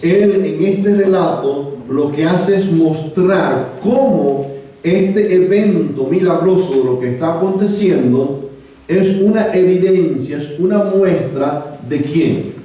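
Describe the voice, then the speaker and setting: A man with a Cuban accent preaching a sermon and reading scripture in a measured way, with frequent hesitant fillers. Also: fairly high pitch, mellow, highs cut off. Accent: Cuban Spanish. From Cuba. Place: church sanctuary. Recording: echoey church room from far off.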